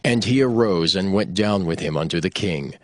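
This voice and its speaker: A man narrating scripture in a solemn, measured, scripted storytelling style. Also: low voice, no echo. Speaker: Bible narrator.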